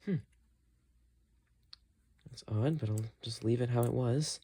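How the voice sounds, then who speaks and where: A man with an average-pitched voice hosts a hands-on tutorial. He talks casually and thinks aloud as he works, explaining each step in an unscripted way. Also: takes it slowly, mellow. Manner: thinks aloud while working. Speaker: host of a repair tutorial.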